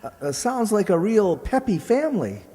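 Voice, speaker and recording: A man's voice: sits high, sing-song, dark tone. A man playing a slangy, scheming small-time gambler boyfriend with an American accent, in old-time radio comedy style. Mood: sardonic. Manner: slangy wise guy, drawn-out delivery. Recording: echoey hall recording.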